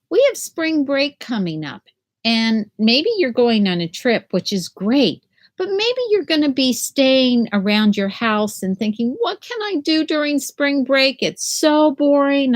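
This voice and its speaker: An older woman, a school principal, reads aloud gently and slowly to young children from a small room at home on a laptop webcam. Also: a little high. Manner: slow, gentle and animated, like reading to young children. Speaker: school principal reading to students. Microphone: laptop webcam at desk.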